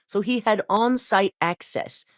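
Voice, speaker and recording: A woman's voice: mid-range voice, a little slow, no room sound, studio quality, lightly acted. An actress voicing a controlled, commanding middle-aged female chief executive in a video game, with a businesslike delivery. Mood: cool. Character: cold calculating female corporate CEO.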